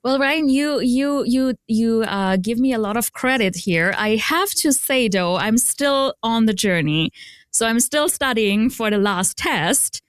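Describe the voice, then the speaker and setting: A woman's voice: a little high, expressive, unhurried, clear, resonant tone, no echo, clean studio sound. A woman from Austria with an Austrian accent, a portfolio manager talking in a podcast interview, friendly and self-deprecating with easy laughs.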